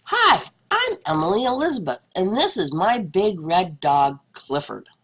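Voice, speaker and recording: An earnest little storybook girl, played by a woman reader, speaking with huge swings of pitch. The voice is bright and emphatic, with words stretched out for effect. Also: breathy edge, heavily acted. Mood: cheerful introduction. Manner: expressive, emphatic, drawn-out words. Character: earnest little girl telling about her beloved puppy. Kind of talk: children's storybook character reading.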